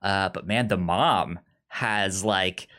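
A man co-hosting a podcast, discussing a film casually in a halting way, often stammering and repeating words. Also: deep voice, bright, forceful tone. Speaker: podcast co-host.

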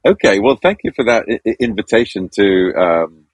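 A man's voice: fairly low pitch, big pitch swings, normal speed, gravelly, breathy edge, no echo. A man in his sixties from Zambia, a podcast guest telling a childhood story in a thoughtful, self-deprecating way. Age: 60s. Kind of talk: reflective storytelling.

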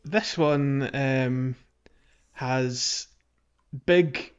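A man co-hosting a casual game podcast, relaxed, trailing off and chuckling. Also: medium pitch, extremely slow, smooth, lo-fi sound, faint music behind. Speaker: podcast co-host. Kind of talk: casual chat.

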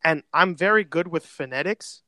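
Bright-voiced young man, easygoing slang. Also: fairly high pitch, normal speed, no echo. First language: Spanish. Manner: laid-back, slangy, rambling storytelling. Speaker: podcast guest. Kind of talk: casual chat.

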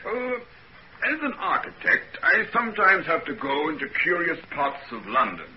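A man acting a courteous, slightly hesitant professional gentleman in a 1940s radio drama. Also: on the high side, very animated, normal speed. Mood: hesitant, serious. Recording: dull, noisy old 1940s radio recording.

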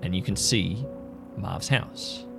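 A man narrating an improvised scene as game master, halting as he builds the atmosphere in descriptive detail. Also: low-pitched, gravelly. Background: music under the voice.

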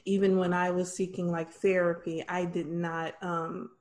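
A young American woman hosting a podcast, speaking softly with many ums and restarts in a casual interview chat. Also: lowish voice, flat delivery, moderate pace. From Michigan, USA. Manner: soft-spoken, with frequent ums and restarts. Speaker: podcast host.

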